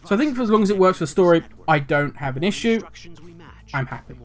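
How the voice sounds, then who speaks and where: Older male gaming host, slightly raised pitch, rambling and grumpy in tone. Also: animated, quick pace. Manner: talkative, rambling, self-correcting; cynical, grumbling. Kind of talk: casual commentary video.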